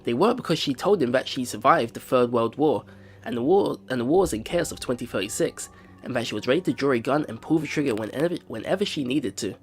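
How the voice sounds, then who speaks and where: Animated man narrating a visual novel. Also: medium pitch, fast, faint music behind. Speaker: let's play host.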